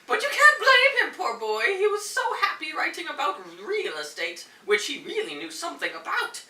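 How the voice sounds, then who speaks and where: A woman playing a kindly, fussy, doting old aunt in a stage comedy read-through, gentle, proper and fretful. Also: very high-pitched, wildly swooping pitch, normal speed, vocal fry, heavily acted. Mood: chatty, reassuring. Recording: roomy echo, distant mic.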